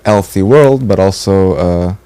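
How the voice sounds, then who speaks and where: A man's voice: deep voice, measured pace, dark tone, smooth, no echo. A man in his twenties from Portugal, speaking fluently and thoughtfully in a casual conversation. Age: 20s. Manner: fluent and thoughtful, building longer sentences. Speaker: training participant.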